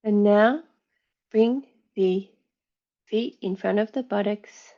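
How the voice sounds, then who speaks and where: A woman teaching yoga nidra, guiding relaxation in a calm, soothing, measured way with long pauses. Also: mid-range voice, muffled recording. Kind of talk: guided relaxation, meditation instruction.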